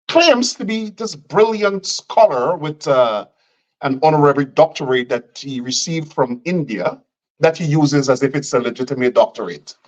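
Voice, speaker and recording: A man's voice: high-pitched, highs cut off. A man from Guyana, delivering combative political commentary and repeating phrases for emphasis.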